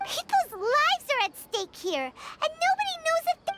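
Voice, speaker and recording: Squeaky little game fairy sidekick, super high, bouncy pitch, chatty and curious. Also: female voice, moderate pace. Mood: concerned, puzzled. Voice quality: squeaky, childlike. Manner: speaks of self in third person, excitable. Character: tiny childlike floating fairy companion, chatty, curious. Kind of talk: video game character acting. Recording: loud noise behind the voice.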